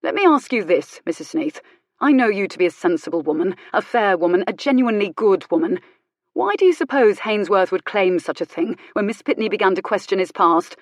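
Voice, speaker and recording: A woman voicing a mild-mannered country vicar in an audiobook romance, measured, pointed and drily ironic with quiet anger. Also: on the low side, dry recording.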